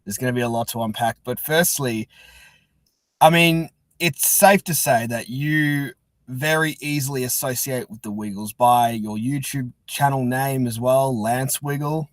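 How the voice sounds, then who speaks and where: An Australian man hosting a podcast in casual interview chat, halting and repeating words as he goes. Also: medium pitch, no room sound. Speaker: podcast host.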